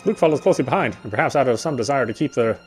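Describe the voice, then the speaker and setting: Fast-reading man narrating a game. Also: mid-range voice, animated, soft background music. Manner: stumbles and rereads phrases. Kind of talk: reading visual novel narration aloud.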